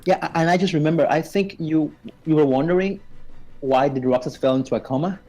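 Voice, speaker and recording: A man's voice: fairly high pitch, fairly quick, dark tone. A man casually explaining game lore as a guest, stammering and repeating words as he goes. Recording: faint music behind the voice.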